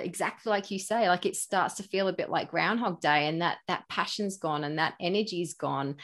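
A woman in her thirties hosting a casual interview, chatty and quick to laugh. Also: lowish voice, a bit bright. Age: 30s. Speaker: podcast host.

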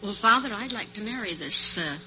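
A woman's voice: mid-range voice, lively intonation, fairly quick, bright, forceful tone, soft background music. A woman answering as a contestant on an old-time radio quiz, chatty and polite. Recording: dull vintage radio recording.

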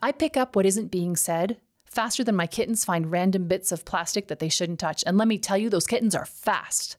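A middle-aged woman with a slight Canadian accent hosting a scripted solo podcast, enthusiastic and emphatic, slowing down on key words. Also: medium pitch. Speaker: podcast host.

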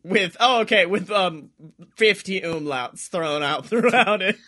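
Male comic co-host: high-pitched, animated intonation, excitable riffing, casual and sweary. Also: unhurried. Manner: excitable, jokey, profane. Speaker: podcast co-host.

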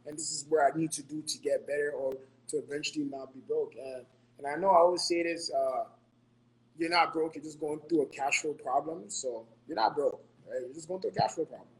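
Earnest higher-voiced man from Ghana. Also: a little fast, rough recording. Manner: earnest, repeats himself, ends points with "right?". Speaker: podcast co-host giving financial advice. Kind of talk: motivational casual talk.

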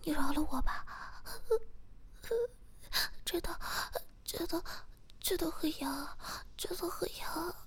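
A woman whispering as a pleading young woman, high and breathy. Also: fairly even intonation, unhurried.

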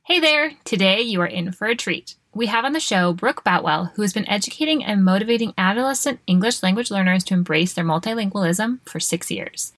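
A woman's voice: medium pitch, lively intonation, a little fast, hardly any pauses, fairly bright tone, dry recording. A woman hosting an education podcast, reading a scripted intro in an upbeat, promotional way. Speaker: podcast host.

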